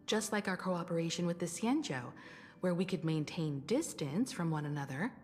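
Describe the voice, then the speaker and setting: A woman voicing a composed, thoughtful female strategist in a video game, measured and articulate. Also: fairly low pitch, lively intonation, a little mellow, in a large hall, soft background music, natural voice. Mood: thoughtful, approving. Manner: measured, articulate, reasoning step by step. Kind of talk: video game character dialogue.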